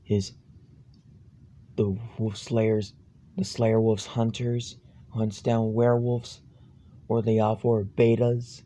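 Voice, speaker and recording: Slow, halting man telling campfire horror. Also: fairly low pitch, little pitch movement, mellow. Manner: halting, ominous, stumbling over phrases. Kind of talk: improvised amateur campfire horror storytelling.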